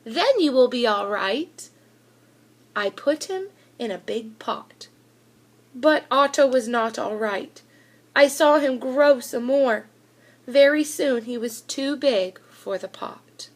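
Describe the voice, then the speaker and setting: A woman reading a children's picture book aloud in an animated, deliberate storytelling manner with emphatic delivery. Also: sits high. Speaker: school reader of children's books.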